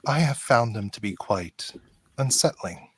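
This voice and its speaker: A man playing a polite, curious android officer in an amateur radio play, formal and measured, with a husky voice. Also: mid-range voice, expressive, no echo.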